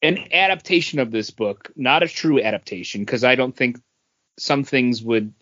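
A man co-hosting a podcast, talking casually about comics and feeling his way haltingly through his thoughts. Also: medium pitch, fairly bright tone, dull audio. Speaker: podcast co-host. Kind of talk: casual comic book review chat.

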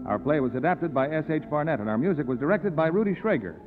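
A man's voice: a little high, expressive, fast, over music. A man with an American accent announcing scripted advertisements and sign-offs on 1950s radio. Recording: dull, lo-fi 1950s radio broadcast.

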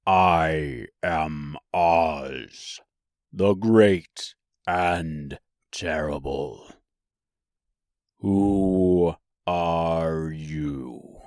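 A man voicing a great and terrible wizard speaking from a ball of fire, slow and commanding, in a storybook reading. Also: low-pitched, darkish tone, no room sound, studio quality, voice pushed down. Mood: imperious, menacing. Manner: slow, commanding, drawn-out words.

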